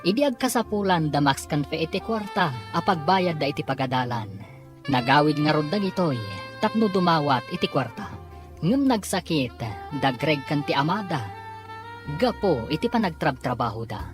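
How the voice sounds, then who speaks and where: A woman's voice: low voice, lively intonation, normal speed. A woman with an Ilocano accent announcing and narrating a scripted radio drama serial. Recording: music underneath.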